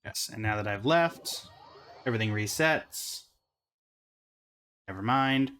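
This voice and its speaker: A man's voice: fairly low pitch, slow, fairly bright tone. A man giving casual game reaction commentary as a host, in an informal, rambling, offhand manner.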